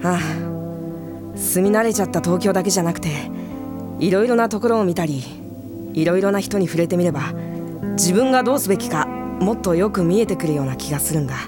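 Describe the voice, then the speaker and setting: Casting: woman as cheeky anime teen boy, earnest kid brother, fast, boyish, eager. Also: lowish voice, music in the background, close to an everyday voice. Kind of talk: anime audio drama acting.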